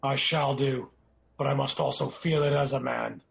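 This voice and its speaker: A man's voice: mid-range voice, fairly even intonation, normal speed, vocal fry, a little hiss or hum. A man playing an emotional, grave nobleman in a Shakespearean radio drama, speaking dramatic verse. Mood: anguished, resolute. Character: Macduff, a grief-stricken Scottish nobleman turned vengeful.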